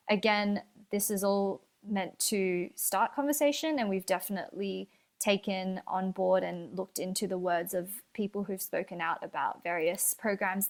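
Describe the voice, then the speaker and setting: A young woman co-hosts a casual podcast at a middle pitch. She talks in a careful, thoughtful way, softening her points with fillers and qualifiers. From Australia. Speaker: podcast co-host.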